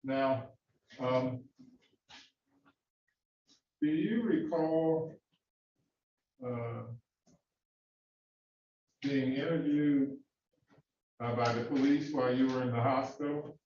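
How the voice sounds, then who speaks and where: A man cross-examining a witness in a courtroom, questioning in a halting way with many fillers and restarts. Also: medium pitch, darkish tone. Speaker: defense attorney questioning a witness. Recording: echoey, distant, dull courtroom audio.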